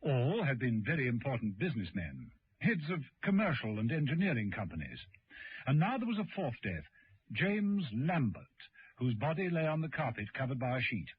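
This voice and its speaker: A man narrating a spy adventure radio drama in a measured, dramatic storytelling style. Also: mid-range voice, sing-song, breathy edge. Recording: dull old radio recording, music.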